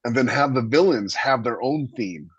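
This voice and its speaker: A man with a husky voice explaining his views on a podcast in a casual but emphatic, opinionated way. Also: medium pitch, expressive, moderate pace. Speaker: podcast host and author. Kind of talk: casual writing discussion. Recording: roomy, slightly dull lo-fi microphone.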